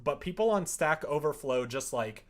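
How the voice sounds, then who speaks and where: A man's voice: fairly high pitch, animated, moderate pace. Casual man chatting on a livestream. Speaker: live-streaming programmer. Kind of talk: casual livestream chat while coding.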